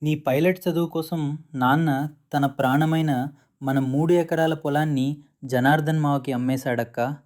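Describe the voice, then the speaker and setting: A man's voice: a little high, steady tone, moderate pace, a little mellow, natural voice. A young man voicing an angry, tearful younger brother scolding his sister. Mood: upset. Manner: upset, scolding, emotional.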